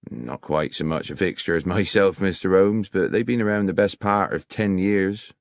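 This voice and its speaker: A man's voice: low-pitched, normal speed, dry recording, clean studio sound. A man voicing an amiable, deferential railway foreman in his fifties, plain-spoken and respectful, for an audiobook. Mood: helpful, respectful. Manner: plain-spoken, respectful, working-class turns of phrase.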